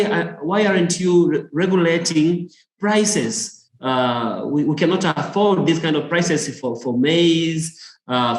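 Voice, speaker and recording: A man's voice: on the high side, reverberant, poor audio. A man from Tanzania speaking up in an online panel, hesitant, with many fillers and repeated words. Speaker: audience participant. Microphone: video call.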